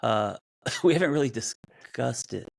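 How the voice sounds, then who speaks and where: A man in his fifties co-hosting a podcast, chatting casually in an animated, rambling way with many restarts. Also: medium pitch, a little slow, gravelly, no echo, clean studio sound. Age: 50s. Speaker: podcast co-host. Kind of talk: casual chat.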